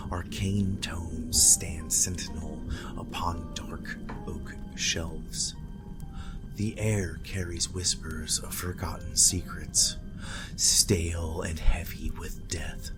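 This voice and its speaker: A man narrating scripted dark fantasy horror as a game master, with deliberate, ornate dramatic delivery. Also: deep voice. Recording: music behind the voice.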